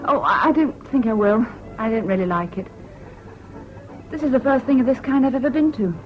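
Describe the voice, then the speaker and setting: An actress playing a frank, bossy but affectionate young British woman in a radio drama, brisk and teasing. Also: a little high, animated, soft background music, close to an everyday voice. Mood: wistful, candid. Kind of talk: BBC radio drama acting. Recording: dull lo-fi old radio broadcast, hissy.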